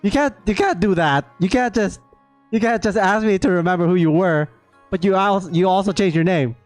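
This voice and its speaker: A man's voice: high-pitched, moderate pace, no room sound, faint music behind. A man doing casual live game reaction commentary, chatting playfully with his viewers. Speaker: game streamer.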